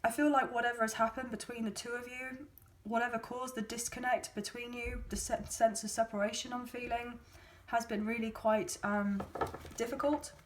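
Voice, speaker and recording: A woman with a slightly raised voice that has gone a little hoarse and croaky talks her viewers through a tarot reading. She sounds chatty and gently encouraging, often pausing on an um. The recording carries a clear background hiss with clicks. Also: on the high side, flat delivery, rough recording. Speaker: tarot card reader.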